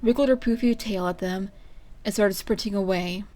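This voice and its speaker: A woman reading a story aloud as its narrator, in an expressive scripted style. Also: mid-range voice, moderate pace. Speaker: fan fiction reader.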